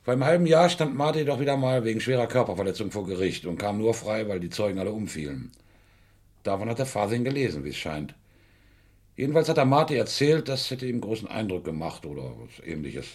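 Low, husky, shrewd police inspector. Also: male voice, animated, a little mellow. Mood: shrewd, explaining. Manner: deliberate, probing, explanatory. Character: shrewd, methodical male British police inspector. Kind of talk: 1970s German radio crime play acting.

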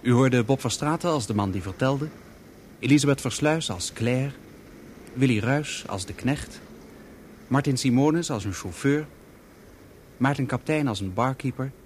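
Male radio drama announcer, mid-pitched, scripted credit reading, slightly noisy recording. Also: moderate pace, long pauses.

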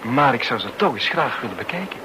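An actor playing a smoothly persuasive tall suitor in a radio drama, speaking in a casual, coaxing way with small hesitations. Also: male voice, medium pitch, big pitch swings, fast talker, noisy background, natural voice. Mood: casually probing. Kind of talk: Dutch-language radio drama acting. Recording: old radio play recording.

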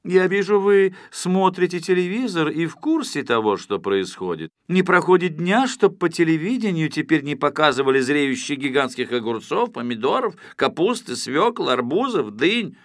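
A man voicing a shady, calculating fixer in an audiobook, cool-headed and measured in manner. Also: a little high, expressive, dry recording, clean studio sound, voice pushed up. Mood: cool, businesslike. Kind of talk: audiobook character acting.

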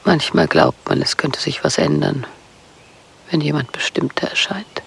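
An actress playing a withdrawn, brittle psychiatric patient in a radio drama, with a breathy, husky voice and a hushed, intense delivery. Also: female voice, deep voice, measured pace, whispered, full character voice. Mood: hushed, haunted. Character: withdrawn, brittle woman confined in a psychiatric clinic. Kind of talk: German radio play acting.

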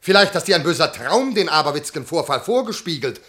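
A man's voice: on the high side, big pitch swings, brisk, bright, forceful tone. A man playing an imperious, easily angered master in a German classical comedy radio play, questioning his servant in a commanding, declamatory, incredulous way. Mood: exasperated, demanding. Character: imperious, exasperated master and husband, quick to anger.

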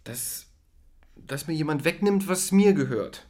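A man's voice: on the high side, expressive, unhurried, heavy background noise. An actor playing an anxious, self-absorbed city man in a naturalistic radio drama, with an agitated, hesitant delivery. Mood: anxious, defensive.

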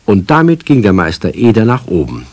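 A man as the genial narrator of a children's radio play, telling the tale in an expressive storytelling manner. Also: fairly low pitch, a little fast, no echo. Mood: wry storytelling.

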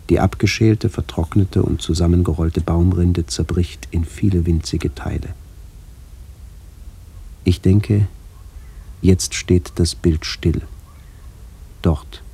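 A reflective middle-aged man tells a literary radio story. His voice is very low and husky, with a gravelly edge, and his delivery is measured and contemplative. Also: mellow, heavily acted. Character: reflective man visiting his ageing family. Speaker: narrator. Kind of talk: literary radio play narration.

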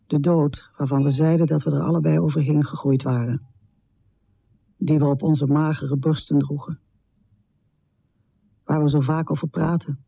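An actress playing a troubled, confessional middle-aged woman poet in a radio drama, speaking intimately with a low, husky voice. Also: dry recording, phone-quality sound, exaggerated voice. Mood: brooding, grave. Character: troubled, confessional American woman poet, heavy drinker, death-obsessed. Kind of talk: radio drama monologue and poetry recital.

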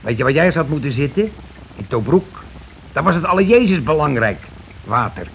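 A man's voice: on the high side, sing-song, fairly quick, vocal fry. A man acting in a radio play as a bossy, blustering working-class husband who argues colloquially and keeps demanding agreement. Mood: indignant, blustering. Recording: dull, noisy old radio recording.

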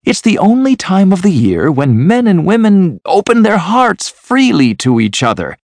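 A man voicing a high-pitched, cheerful young nephew. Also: sing-song, normal speed, a little mellow, no echo. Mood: earnest. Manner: earnest, friendly, pleading. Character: cheerful, warm-hearted young nephew of the miser. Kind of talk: storybook character voice.

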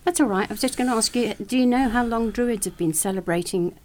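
A woman's voice: fairly high pitch, fast talker, a little mellow. Inquisitive woman hosting a podcast. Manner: inquisitive, brief affirmations. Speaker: podcast host. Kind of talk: casual podcast conversation.

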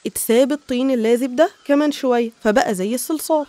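A woman's voice: high-pitched, fast, mellow. A woman with an Egyptian accent narrating scripted religious stories in an explanatory, didactic manner.

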